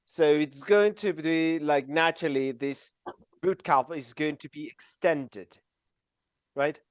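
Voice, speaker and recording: Man, mexican accent, chatty live class teacher, smooth clear voice, dry close sound. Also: a little high, slow, dry recording. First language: Spanish. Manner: chatty, laughs often, many fillers. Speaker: animation instructor.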